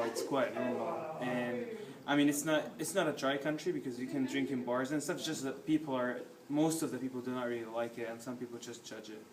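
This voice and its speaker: A young man from Egypt, a student answering interview questions casually and hesitantly, with lots of fillers. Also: medium pitch. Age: young adult. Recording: echoey room with background noise.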